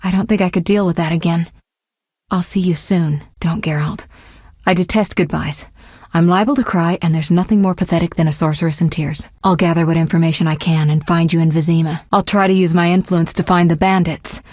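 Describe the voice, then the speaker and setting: An actress playing a confident, proud young sorceress in a fantasy video game, speaking in a poised, articulate, assertive way. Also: female voice, on the low side, steady tone, brisk, darkish tone, no room sound, clean studio sound, close to an everyday voice. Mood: tender, subdued.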